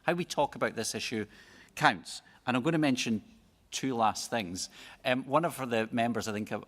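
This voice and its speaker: A man speaking reflectively on a conference panel, building to emphatic points. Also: fairly low pitch. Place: large conference hall. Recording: reverberant conference hall.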